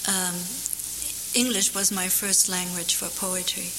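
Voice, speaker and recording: A woman poet whose first language is German, answering audience questions and reciting her own poem from memory, thoughtful and unhurried with dry humor. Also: on the low side, flat delivery. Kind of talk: Q&A after a poetry reading, reciting from memory. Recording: hissy recording in a big echoing hall.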